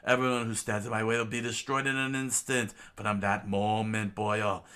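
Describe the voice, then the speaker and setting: A man's voice: fairly low pitch, fairly even intonation, moderate pace, bright, forceful tone, clean tone. A menacing villain, as a man acts him out in an adventure story. He sneers and boasts, gloating over his victims with theatrical relish.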